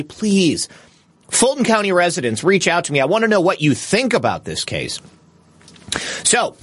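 A man's voice: on the high side, fairly bright tone, no room sound. A man hosting a political livestream, giving opinionated news commentary with casual asides in a scornful, indignant, crudely humorous manner.

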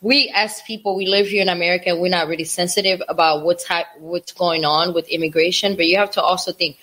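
A woman's voice: on the low side, steady tone, a little fast, fairly bright tone. A woman from Africa co-hosting a casual talk show, speaking with passion and repeating phrases for emphasis. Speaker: co-host. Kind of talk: casual opinion chat.

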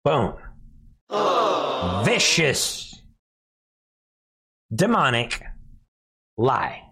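A man's voice: mid-range voice, huge pitch swings, extremely slow, pushed and bright, husky. An American man hosting live political commentary, speaking emphatically and derisively and repeating phrases for effect. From United States. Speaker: news commentary show host.

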